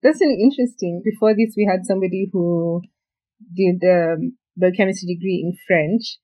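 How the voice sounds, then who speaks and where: A woman's voice: lowish voice, moderate pace, mellow, low-quality recording. A woman hosting a casual interview, asking curious, encouraging questions. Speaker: host.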